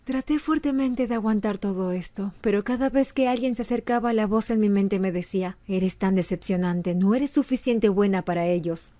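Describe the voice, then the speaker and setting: A woman's voice: mid-range voice, normal speed, few pauses, mellow, natural voice. A woman voicing a young female animator who earnestly narrates a painful personal confession for a fan dub. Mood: earnest, sorrowful.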